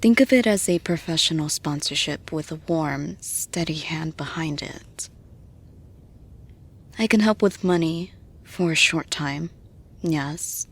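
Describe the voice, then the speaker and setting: A woman playing a nurturing, maternal boss in an intimate roleplay, speaking in a breathy, soothing hush that often drops to whispers. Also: low-pitched, full character voice. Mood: gentle, reassuring. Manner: soothing, hushed, unhurried, often dropping to whispers. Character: nurturing, maternal female boss offering gentle patronage. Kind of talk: intimate ASMR-style roleplay monologue.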